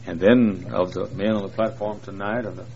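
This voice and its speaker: Low-voiced man in his sixties preaching. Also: fairly even intonation, moderate pace, warm tone. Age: 60s. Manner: folksy, rambling, with many "uh" fillers and jokes. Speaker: visiting preacher. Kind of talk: joking sermon introduction to a large congregation. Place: large church. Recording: dull lo-fi recording with faint noise.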